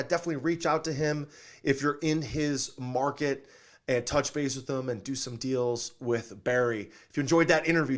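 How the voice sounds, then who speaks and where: A man hosting a podcast in an upbeat interview style, enthusiastic and affirming as he talks. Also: mid-range voice. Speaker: podcast host.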